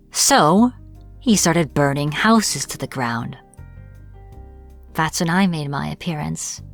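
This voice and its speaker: A woman's voice: low-pitched, gravelly. A woman acting as a captured witch in a fantasy audio roleplay, irritable and defiant, speaking informally with sarcastic exasperation. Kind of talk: dramatic fantasy audio roleplay, addressed to the listener.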